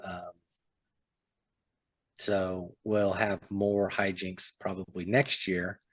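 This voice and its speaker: A man with a fairly low voice co-hosts a podcast and talks in a loose, meandering way. He often lets his sentences trail off with "so". The sound is close and dry, with no room echo. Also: little pitch movement, very slow. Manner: rambling, trails off with "so". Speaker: podcast co-host and author. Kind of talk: casual chat.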